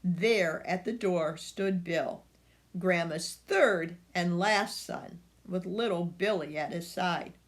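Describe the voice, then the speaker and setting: An older woman reading a picture book aloud to children, expressive and speaking directly to her young listeners. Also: fairly low pitch, slow pace.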